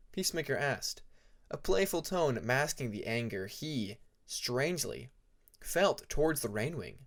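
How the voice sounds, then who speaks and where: A male audiobook narrator with a medium-pitched voice. He reads fiction very slowly and deliberately, setting phrases apart with dramatic pauses.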